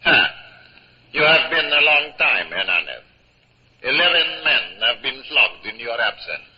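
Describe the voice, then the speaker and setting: Haughty, animated cruel tyrant. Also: male voice, mid-range voice, unhurried. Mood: haughty, cold. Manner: haughty, commanding. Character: cruel, imperious mad Latin American tyrant. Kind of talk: 1950s radio drama acting. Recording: dull, noisy old radio recording.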